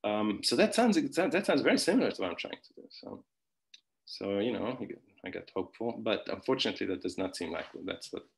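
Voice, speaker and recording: A man's voice: lowish voice, sing-song, fairly quick, vocal fry. A man whose first language is Hungarian, giving an online philosophy conference talk with many restarts, fillers and wry asides. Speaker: philosophy lecturer.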